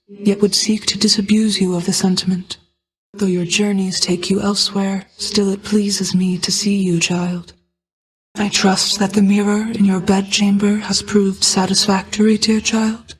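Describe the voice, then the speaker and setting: A woman voicing a regal, ageless, motherly goddess of night in a video game, speaking in formal, measured, archaic phrasing. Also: mid-range voice, lightly acted, rough recording. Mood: calm, proud. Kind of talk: scripted video game voice acting.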